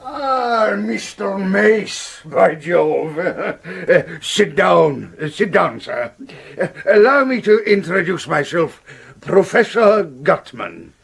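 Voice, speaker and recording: A pompous, genial villain in a British radio play, with a British accent. His voice is high and husky, and he speaks very slowly, with halting, florid courtesy and chuckles. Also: big pitch swings, full character voice. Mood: genial, sly. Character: jovial, pompous, chuckling gentleman villain, self-styled professor. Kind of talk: period radio drama acting.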